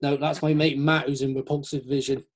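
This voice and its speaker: A man's voice: a little high, level tone, fairly quick. A man in a casual, rambling, jokey chat, acting out little quotes as he goes. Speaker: host of a metal chat show.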